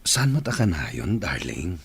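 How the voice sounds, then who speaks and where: A man's voice: deep voice, very animated, measured pace, vocal fry, fairly bright tone, breathy edge, full character voice. A man playing an evasive middle-aged husband and father in an Ilocano radio drama, hesitant and placating.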